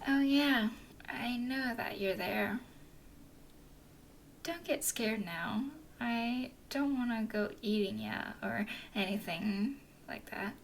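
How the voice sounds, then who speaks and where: A woman voicing a flirty, teasing female werewolf explorer in an ASMR roleplay, speaking in a soft whisper with a playful drawl. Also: fairly high pitch, takes it slowly, gravelly, a little hiss or hum.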